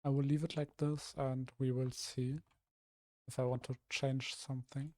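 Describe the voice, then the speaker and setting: A young man from Germany talks through his building plans in a casual stream, his voice rough and a little hoarse. He thinks aloud, trailing off and restarting often, in a mellow, warm tone. The sound is dry and close, with no room around it. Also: medium pitch, level tone, normal speed, no room sound. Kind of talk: casual live-stream commentary while building.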